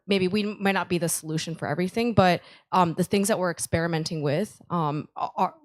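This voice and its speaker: A woman speaking on a conference panel, explaining ideas with many "right?" tags and "um" fillers, into a handheld microphone. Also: fairly low pitch. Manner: says she has a loud voice. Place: conference stage.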